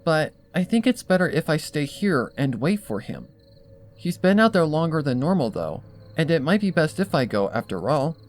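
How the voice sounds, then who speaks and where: A man's voice: a little high, sing-song, moderate pace, soft background music, voice pushed up. A man voicing an anxious, guarded female pony in a fan fiction reading, curt and evasive.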